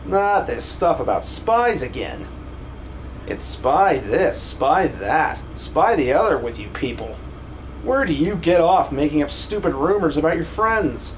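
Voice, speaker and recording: A man voicing a gruff, irritable soldier who has no patience, in a video game fan dub. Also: fairly high pitch, very animated, measured pace, a little hiss or hum, rough recording. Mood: irritated. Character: gruff, irritable soldier sick of spy rumours.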